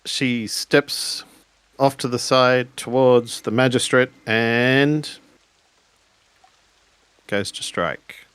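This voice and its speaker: A man's voice: mid-range voice, steady tone, extremely slow, long pauses, a bit bright, clear, resonant tone, no echo. A man casually narrating his character's actions in a tabletop game, hesitating as he searches for words. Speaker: player in a tabletop roleplaying game.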